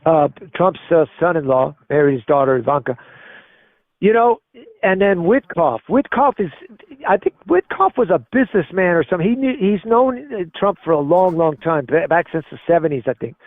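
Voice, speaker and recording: High-voiced man, mature, insistent political talker on a slightly muffled call. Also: expressive, moderate pace, no echo. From Spain. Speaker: caller. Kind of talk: opinionated political conversation. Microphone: phone or voice call.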